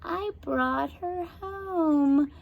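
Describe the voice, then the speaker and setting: A vicious female monster from a spooky children's book. She rasps her words out very slowly at a raised pitch, possessive and full of menace. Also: phone-quality sound, heavily acted. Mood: menacing, possessive. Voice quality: raspy. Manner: slow, drawn-out menacing delivery.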